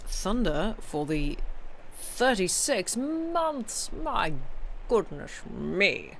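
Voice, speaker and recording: A woman's voice: mid-range voice, sing-song, extremely slow, gravelly, fairly bright tone. Woman chatting casually over background noise. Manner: polite, rambling, thanking viewers. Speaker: live stream host. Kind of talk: casual chat to live stream viewers.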